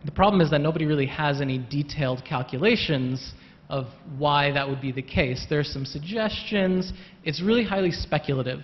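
A man's voice: fairly high pitch, a little fast. A man on a panel in a lecture hall, explaining patiently with lots of hedging and repeated words. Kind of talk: panel discussion answer. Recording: muffled hall recording with long reverb.

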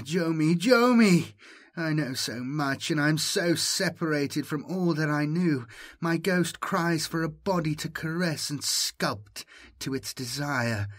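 A man's voice: fairly high pitch, voice pushed up. Man voicing an ancient moaning, seductive robot mind. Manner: moaning, droning, hypnotic.

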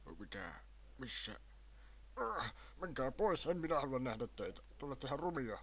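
A man's voice: medium pitch, lively intonation, a bit bright, close to an everyday voice. A man voicing a grumpy, befuddled old man in an amateur anime fan dub, muttering and querulous.

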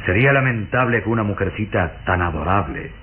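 Sinister courtly count played by a man, insinuating, swooping low delivery, muffled old radio serial sound. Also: lively intonation, brisk, slight background noise, close to an everyday voice. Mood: smooth, courtly. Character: pale suave count, intense, scheming suitor.

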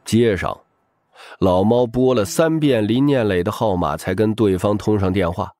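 A man's voice: lowish voice, moderate pace, warm tone, no room sound, studio quality, soft background music. A man reading a novel aloud as a scripted audiobook narrator.

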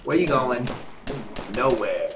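A man with a stuffed-up nose, commentating live at a gaming tournament, getting excitable on big kills. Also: medium pitch, slow. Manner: excitable, shout-outs to viewers, bursts of hype on kills. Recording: noisy with game gunfire behind.

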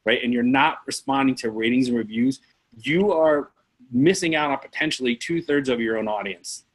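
A man's voice: mid-range voice, moderate pace, slightly rough, rough recording. A man in his forties explaining things conversationally as a guest expert on a video call, often checking the listener follows. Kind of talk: casual explanatory chat with anecdotes. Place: indoor room on a video call.

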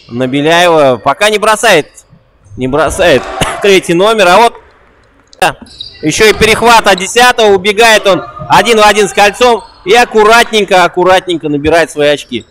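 A young man giving quick, excitable play-by-play commentary on an amateur basketball game, repeating a catchphrase. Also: high voice, a little hiss or hum. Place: indoor basketball gym.

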